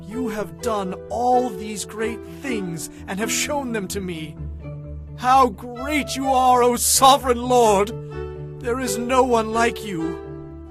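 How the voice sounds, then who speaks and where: Music plays behind a devout king with a high voice. He prays humbly and earnestly, drawing out his phrases with reverent awe in an audio Bible drama. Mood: humble awe, then exalting praise.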